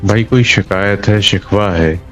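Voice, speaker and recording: A man's voice: on the low side, a little slow, a bit bright, muffled recording, faint music behind. A man answering an interviewer in a colloquial way, often repeating phrases for emphasis. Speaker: local political party member being interviewed.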